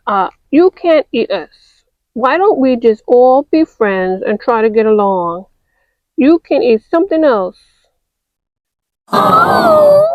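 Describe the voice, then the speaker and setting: A woman voicing a gentle, earnest male cartoon mouse who coaxes softly in a toy-play story. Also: on the high side, no echo, lo-fi sound. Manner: soft, coaxing and earnest.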